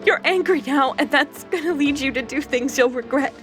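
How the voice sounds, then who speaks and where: A desperate goddess, acted by a woman, with a very high voice over faint music. She pleads and warns urgently. The delivery is dramatic and foreboding, in the manner of an audio mythology drama. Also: moderate pace, lightly acted. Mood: urgent warning.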